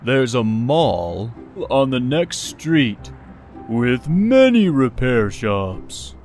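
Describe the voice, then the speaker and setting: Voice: mid-range voice, very animated, very slow, darkish tone, faint music behind. An actor voicing a helpful, self-assured young boy in a children's cartoon, with a cocky, easygoing manner.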